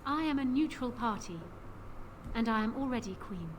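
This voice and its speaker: A woman's voice: a little high, expressive, normal speed, lightly acted. A woman playing a proud, calculating young queen in a fantasy video game, speaking formally and with assertive composure. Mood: proud, commanding. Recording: game audio with clear background noise.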